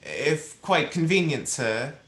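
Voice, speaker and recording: A man's voice: on the high side, lively intonation, slow, a bit bright. A man acting in a radio play as a meek, kindly clerk, polite and deferential, in a roomy, echoing recording. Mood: hopeful, deferential.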